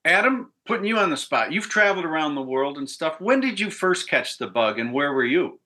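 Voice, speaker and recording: Bright-voiced upbeat male panel moderator. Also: fairly high pitch, big pitch swings, brisk. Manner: rambling, upbeat questions with frequent restarts. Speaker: podcast host and panel moderator.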